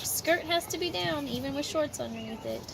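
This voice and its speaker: A woman reading a children's story aloud outdoors in expressive, deliberate phrasing, with chatty asides. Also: vocal fry. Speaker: storybook reader. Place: outdoors at a park. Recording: noisy outdoor amateur recording, ambient rumble.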